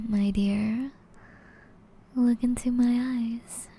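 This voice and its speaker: Woman acting a slow, dark-toned, breathy seductive vampire lady. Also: fairly high pitch, heavily acted. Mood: enraptured, intimate. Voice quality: breathy, half-whispered. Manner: slow, teasing, elegant formal phrasing. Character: seductive aristocratic female vampire, flirty and predatory. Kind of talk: intimate ASMR roleplay.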